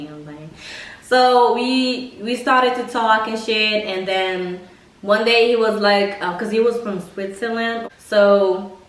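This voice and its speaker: A young woman casually telling personal stories to camera in a blunt, expressive, slangy way with plenty of swearing. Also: mid-range voice. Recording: echoey room, mic at a distance.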